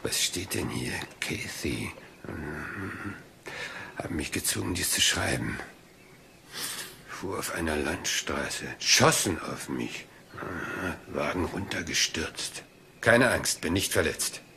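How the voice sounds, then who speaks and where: Shrewd rambling detective, hesitant, low-pitched, crime radio play, noisy ambience. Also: male voice, expressive, slow, creaky, exaggerated voice. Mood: musing. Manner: hesitant, mumbling, seemingly absent-minded. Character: rumpled, polite, rambling, shrewd male police inspector. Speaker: actor dubbing a police inspector. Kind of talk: German crime audio drama dialogue.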